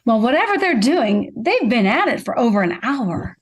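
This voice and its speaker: A woman's voice: a little high, very animated, moderate pace, husky. A woman playing a concerned, affectionate housewife and mother in a radio drama. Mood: suspicious. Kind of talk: vintage radio drama acting.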